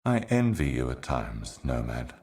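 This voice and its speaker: An actor playing an ominous, ancient otherworldly herald in a video game, speaking in solemn, cryptic, deliberate monologue. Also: male voice, very deep voice, sing-song, darkish tone, full character voice. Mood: bleak, mournful. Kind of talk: scripted video game voice acting, slow monologue.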